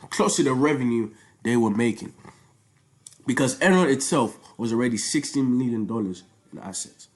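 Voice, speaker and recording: Young male, Nigerian accent, informal student presenter, conversational and slangy, leans on repetition for emphasis. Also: fairly low pitch. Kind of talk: informal spoken project presentation.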